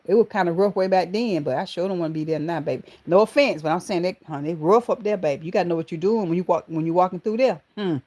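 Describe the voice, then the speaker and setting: A woman's voice: lowish voice, quick pace, hardly any pauses. Southern woman hosting a chatty livestream. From Georgia, US. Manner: affectionate, calls viewers baby and honey, animated exclamations. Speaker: livestream host. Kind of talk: casual live chat greeting viewers.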